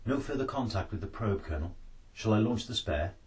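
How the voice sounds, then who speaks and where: A man voicing a keen, dutiful science officer who reports crisply to his superior in a storybook. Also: fairly low pitch, lively intonation, a little fast, distant mic, voice pushed up, lightly acted. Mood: alert.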